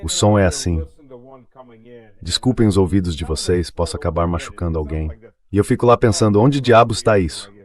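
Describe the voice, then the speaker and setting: A man with a low-pitched voice speaks as a guest in a dubbed interview. He explains things conversationally and slips into anecdote, keeping a deep register. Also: fairly quick, darkish tone. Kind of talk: dubbed translation of a spoken interview.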